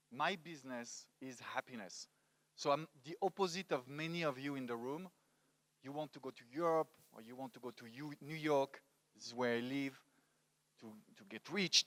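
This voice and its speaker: A man in his forties from France, with a French accent, giving a motivational talk into a handheld microphone in a halting, emphatic way. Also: mid-range voice, a bit bright. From France, grew up in Paris. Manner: halting, emphatic, repeats words for stress. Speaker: conference speaker, storytelling coach. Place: indoor stage at a conference.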